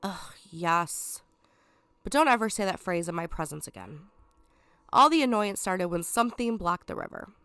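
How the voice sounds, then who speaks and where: A woman's voice: on the low side, expressive, moderate pace, a bit bright, smooth, dry recording. A woman reading a scheming, condescending lion villain. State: stuffed up from a cold. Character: scheming, sarcastic male lion villain, self-proclaimed king.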